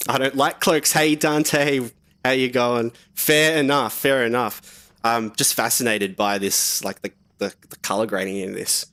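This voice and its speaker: A man's voice: medium pitch, measured pace, smooth. A young man casually talking through a game on a live stream, rambling with lots of fillers while slightly sick and sniffly. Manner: relaxed, rambling, many fillers.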